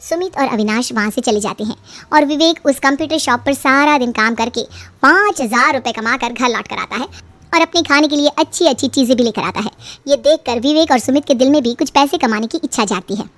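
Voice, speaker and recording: A woman's voice: high-pitched, a little fast, a bit bright. A woman reading a scripted story as its narrator. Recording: music behind the voice.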